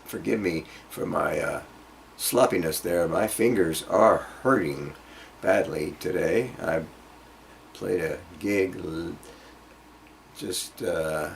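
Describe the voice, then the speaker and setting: A man giving an informal guitar lesson, explaining haltingly and checking in with the listener as he demonstrates phrases. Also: low voice, creaky, slight background noise. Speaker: guitar teacher. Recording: loud acoustic guitar alongside the voice. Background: guitar being played between phrases.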